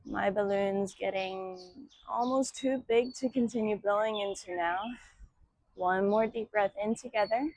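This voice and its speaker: A woman teaching yoga, guiding meditation and breathing in a soothing, measured way with long pauses between short phrases. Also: mid-range voice, steady tone, darkish tone, low-quality recording. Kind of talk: guided meditation and breathing instruction.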